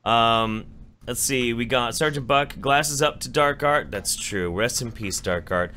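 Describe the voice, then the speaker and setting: A man's voice: medium pitch, unhurried, sharp tone, a little hiss or hum. A man hosting a podcast, reading donation messages aloud and joking sarcastically. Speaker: podcast host.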